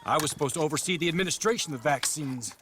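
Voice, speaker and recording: A man's voice: fairly high pitch, rapid-fire, background noise, lightly acted. A man playing an earnest, courteous army captain in a video game, speaking formally and politely with cautious, dutiful care. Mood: troubled, frank. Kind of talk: dramatic video game acting.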